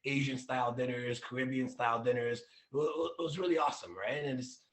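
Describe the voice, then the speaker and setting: Fast-talking young man on a panel. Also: mid-range voice. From Russia. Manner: fast, rambling, lots of "like" fillers. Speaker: panel guest, crypto public speaker. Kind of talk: casual panel conversation.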